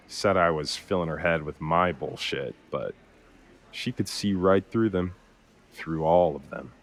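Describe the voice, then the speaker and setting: An actor playing a weary, grieving brother who speaks haltingly and sincerely in naturalistic audio drama dialogue. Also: male voice, very deep voice, a bit bright. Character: weary, consoling man grieving a lost sister.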